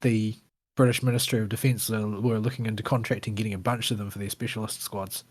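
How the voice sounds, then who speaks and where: A man's voice: lowish voice, flat delivery, fast talker, breathy edge. A man in his thirties with a New Zealand accent and a slight lisp, co-hosting a casual gaming podcast with wry, quizzical asides. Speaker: podcast co-host. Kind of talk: casual chat.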